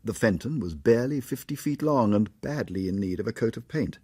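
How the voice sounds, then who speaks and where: An actor playing a courteous, world-weary, educated doctor in a radio drama, with a polite and precise way of speaking. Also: male voice, on the low side, lively intonation, a little fast, dark tone, slightly rough. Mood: reflective. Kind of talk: radio drama acting with first-person narration.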